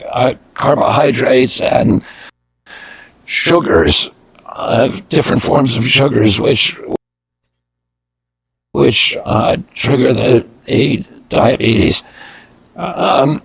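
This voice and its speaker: A man with a gravelly, husky voice answers interview questions at an unhurried pace. He stops and restarts often, repeating words as he goes. The sound is dry and close. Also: medium pitch, no room sound, poor audio. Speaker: guest.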